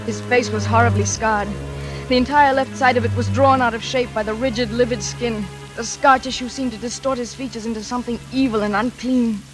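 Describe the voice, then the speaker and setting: A woman's voice: fairly high pitch, fairly even intonation, fairly quick, music in the background, natural voice, low-quality recording. An actress playing a dutiful, lonely young librarian who tells her own story in a 1940s radio drama. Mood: tense, horrified. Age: young adult. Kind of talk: 1940s radio drama first-person narration. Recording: vintage 1940s radio recording.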